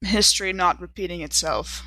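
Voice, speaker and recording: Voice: mid-range voice, normal speed, crowd noise, low-quality recording. A player in a tabletop role-play game, talking casually.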